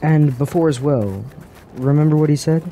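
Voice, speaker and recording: An actor playing a sly, teasing dreamer in a fantasy radio drama, with a sardonic, insinuating manner. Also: male voice, fairly high pitch, normal speed, dark tone, slight background noise, natural voice. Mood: sly, mocking. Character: sly, teasing dreamer who needles the warrior prince.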